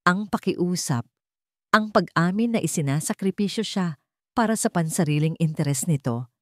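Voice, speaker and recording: Woman narrating a sad family drama, lowish voice, dry close sound. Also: darkish tone, no room sound. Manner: measured, solemn storytelling. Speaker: storyteller.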